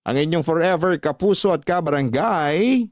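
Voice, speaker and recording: A man's voice: on the high side, expressive, normal speed, dry recording, studio quality. A man with a Filipino accent, a radio host reading listeners' letters as earnest, sentimental, dramatic narration. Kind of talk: dramatic scripted narration of love-story letters. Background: music bed.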